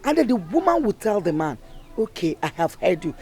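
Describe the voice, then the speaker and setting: A woman's voice: on the low side, very animated, brisk, dark tone. An actress playing a worried middle-aged mother, lecturing her daughter earnestly.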